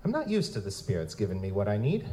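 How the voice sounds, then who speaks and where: A man's voice: fairly low pitch, huge pitch swings, quick pace, dark tone, a little hiss or hum. An actor playing a wry, down-on-his-luck lutin private investigator in a live audio drama, with wisecracking, folksy noir storytelling. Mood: wry, world-weary. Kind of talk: live audio drama, first-person noir narration and dialogue. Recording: music behind the voice.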